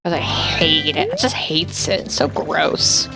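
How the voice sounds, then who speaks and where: Woman, game streamer, casual chat, thinks out loud, home setup, faint music behind. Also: low-pitched, huge pitch swings, a little slow, vocal fry. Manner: chatty, thinks aloud, many fillers. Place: home, indoors.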